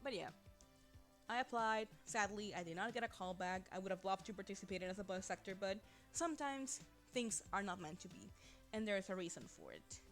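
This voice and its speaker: A woman's voice: mid-range voice, brisk. Young woman streamer chatting over faint music. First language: Spanish. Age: young adult. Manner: chatty, rambling, laughs often. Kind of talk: casual livestream chat. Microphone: desk microphone.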